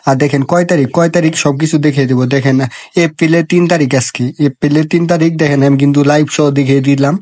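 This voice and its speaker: Male tutorial host, Bangladeshi accent, slightly high voice, very fast repetitive step-by-step delivery. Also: fairly even intonation, a little mellow, no room sound. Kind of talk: informal phone app tutorial narration.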